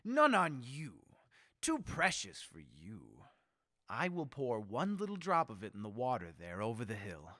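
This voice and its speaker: A man playing a megalomaniac leader bent on mass death in a radio horror drama, theatrical and gloating, moving between hushed menace and shrieks. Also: medium pitch, huge pitch swings, unhurried, no echo, studio quality. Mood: sinister relish. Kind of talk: old-time radio horror drama acting.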